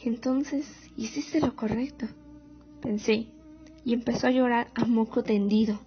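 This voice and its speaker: A woman's voice: on the high side, a little slow. A woman playing a proud, wounded female war veteran recounting memories in a radio drama monologue. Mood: reflective, pained. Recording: muffled audio with music underneath.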